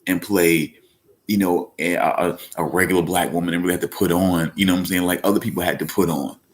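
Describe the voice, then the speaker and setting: Male podcast host, very low voice, loose rambling delivery, casual live-show chat. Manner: rambling, with many fillers and restarts; speaks up when emphatic. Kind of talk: casual live-stream talk.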